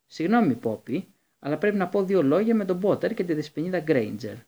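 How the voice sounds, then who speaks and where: A man voicing a calm, firm old headmaster who speaks with measured authority. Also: fairly high pitch, dull audio.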